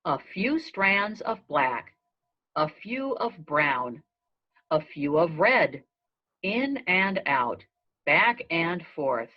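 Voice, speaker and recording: A woman reading a children's story aloud in measured, deliberate phrasing. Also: fairly low pitch. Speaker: storybook reader. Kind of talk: read-aloud narration of a children's story in a goat's first person. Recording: dull lo-fi recording.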